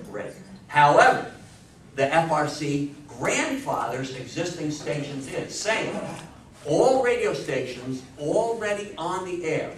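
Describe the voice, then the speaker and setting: Echoey, distant older man giving a lecture. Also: fairly high pitch. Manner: emphatic, folksy, prompting the audience. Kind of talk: animated public history lecture with audience questions. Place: small meeting room with seated audience. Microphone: distant camera microphone. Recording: echoey room, distant microphone, faint noise.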